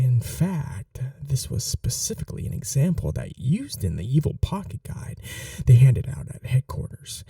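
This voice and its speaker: Man playing a very mellow, smitten goofy supervillain. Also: medium pitch, no room sound, studio quality, recorded from a distance, heavily acted. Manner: rambling, sheepishly boastful, breaking into breathy hushed asides. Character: eager, awkward young male supervillain, smitten and chatty. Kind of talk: ASMR roleplay audio drama, addressing the listener.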